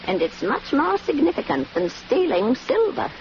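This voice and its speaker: An actress playing a secretive, commanding elderly matriarch in a 1940s radio drama, with a halting, imperious delivery. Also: female voice, high-pitched, wildly swooping pitch. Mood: guarded, imperious. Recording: muffled old radio recording, loud hum and noise.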